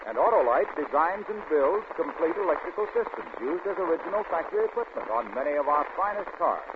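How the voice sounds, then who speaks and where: A man's voice: a little high, animated, fast talker. An American man working as a radio announcer, giving emphatic, scripted sales patter in 1940s commercial style. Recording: tinny, noisy old radio broadcast.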